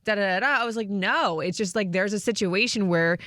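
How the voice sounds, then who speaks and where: A woman's voice: medium pitch, brisk, fairly bright tone, dry recording. A woman co-hosting a morning radio show, chatting candidly and casually into a close studio mic.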